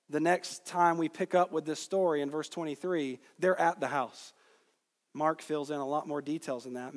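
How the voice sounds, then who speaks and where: A man from Georgia in the United States preaching an expository sermon to a congregation, teaching with deliberate emphasis on key words. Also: fairly high pitch. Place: large reverberant room, speaking to a congregation. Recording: long reverb of a large hall.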